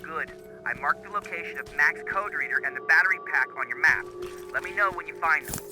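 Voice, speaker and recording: A man's voice: on the high side, a little fast, music in the background, natural voice, rough recording. A man voicing a nervy resistance operator who guides a soldier remotely in a video game. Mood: wry, helpful. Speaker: mission guide over radio. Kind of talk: acted video game dialogue.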